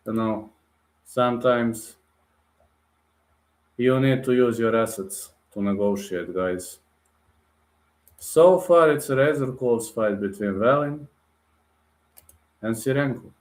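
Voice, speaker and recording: A man talks straight to his livestream viewers, calling them guys and answering their comments bluntly in English. His voice sits at an ordinary middle pitch, and a roomy echo hangs around every line. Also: slow, pauses often, a little mellow. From Bulgaria. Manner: direct, blunt, addresses viewers as guys, non-native phrasing. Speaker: livestream host.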